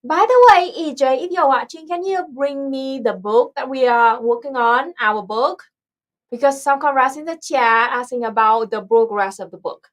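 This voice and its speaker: Vietnamese-accented woman chatting on a livestream. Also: sits high. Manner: halting, searching for words. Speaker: livestream host. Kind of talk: casual livestream chat.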